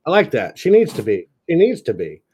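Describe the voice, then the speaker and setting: A man's voice: on the high side, huge pitch swings, brisk, a little mellow, husky. Chatty man giving upbeat feedback. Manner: enthusiastic, chatty, frequent fillers and laughs. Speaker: editor reviewing a story draft. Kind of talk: casual chat while reading aloud.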